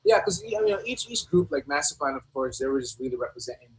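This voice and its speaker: A middle-aged man reminiscing casually as an interview guest, in a halting, slangy way that often doubles back on words. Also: medium pitch, sing-song, poor audio. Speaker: guest, rapper.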